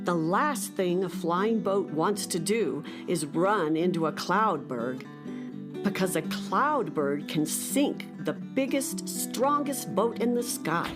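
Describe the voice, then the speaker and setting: Female children's narrator, theatrical and expressive, leisurely delivery, guitar music underneath. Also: on the low side, slow. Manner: addresses young listeners, theatrical. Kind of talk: scripted children's storytelling.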